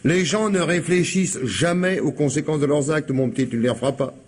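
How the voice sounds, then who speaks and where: A man's voice: a little high, fairly quick, husky. An actor playing an older, complicit father in a comic radio mystery, phlegmatic, wry and indulgent in his talk. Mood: resigned, cautioning. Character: older, unflappable, complicit father with sly ideas. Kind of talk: French comic radio mystery play acting.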